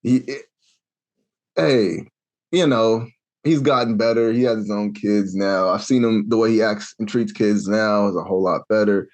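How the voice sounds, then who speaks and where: A man's voice: fairly low pitch, moderate pace. A man in his thirties co-hosting a podcast, telling a story in casual chat and acting out the lines he quotes. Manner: animated storyteller, acts out quotes with rising exclamations. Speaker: podcast co-host.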